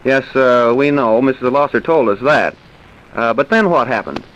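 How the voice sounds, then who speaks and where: An actor playing a measured, courteous small-town coroner in an old-time radio drama, questioning politely and deliberately. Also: male voice, mid-range voice, a bit bright, lo-fi sound, close to an everyday voice. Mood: probing. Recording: noisy vintage radio recording.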